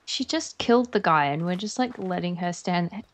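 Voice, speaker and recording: A woman's voice: on the low side, lively intonation, moderate pace. Chatty Australian woman streaming a game. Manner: chatty, rambling, lots of fillers. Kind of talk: casual stream chat.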